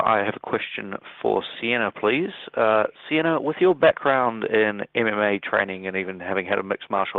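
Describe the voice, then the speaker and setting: A man's voice: lowish voice, dry recording. A man working as a reporter, politely putting a question on a press call. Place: telephone conference call. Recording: tinny dry phone line.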